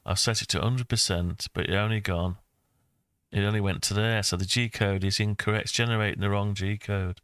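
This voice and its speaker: An older man with a low, smooth voice talks through a screen-recorded tutorial in a casual way. His delivery stays very flat as he rambles and thinks aloud. The sound is close and dry, as from a desk microphone. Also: a little fast, dry recording. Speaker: maker and video host.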